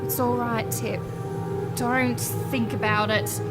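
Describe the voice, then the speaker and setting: A wicked witch with a high, unhurried voice. She is manipulative and spiteful, sliding between coaxing and sharp scolding, in a fantasy audio drama. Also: flat delivery, over music. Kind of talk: full-cast fantasy audio drama acting.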